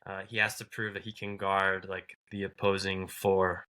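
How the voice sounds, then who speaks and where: A man co-hosting a casual sports podcast, answering in brief, measured remarks. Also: low voice, flat delivery, pushed and bright, clear, resonant tone. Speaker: podcast co-host.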